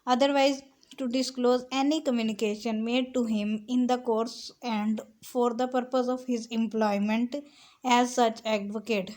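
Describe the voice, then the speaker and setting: A woman lecturer explaining step by step, reading out clauses deliberately and switching between Urdu-Hindi and English. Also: a little high, a little mellow. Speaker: law lecturer.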